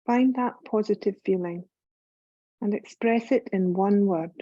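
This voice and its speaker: Slow, mellow woman guiding meditation. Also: medium pitch, no echo, dull audio. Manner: calm, soothing, unhurried, with long pauses. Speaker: yoga nidra guide. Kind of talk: guided meditation, scripted.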